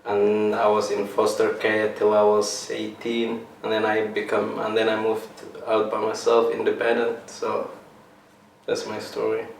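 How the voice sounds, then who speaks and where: A young man from Somalia telling his own story in an interview, halting and restarting as he searches for words. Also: on the low side, monotone, vocal fry. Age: young adult. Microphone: set up mic in room. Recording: roomy, mic a little far, faint hiss.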